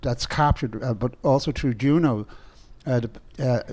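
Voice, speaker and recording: Male panelist, medium pitch, conversational, emphatic repetition, casual radio studio talk. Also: normal speed, darkish tone. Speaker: radio show panelist, former actor.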